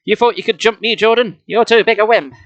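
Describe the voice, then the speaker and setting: A cocky boy bully out of a children's book, acted by a reader. The voice sits higher and moves around a lot, with a mocking, emphatic delivery. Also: big pitch swings, fairly quick, dry recording, muffled recording, natural voice.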